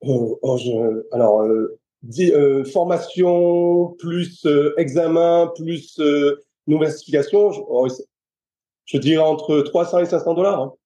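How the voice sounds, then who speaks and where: Middle-aged French male trainer, warm mellow tone, halting, thinking-aloud delivery. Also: fairly high pitch. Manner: hesitant, many restarts and fillers. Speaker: guest, personal trainer. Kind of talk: casual interview chat.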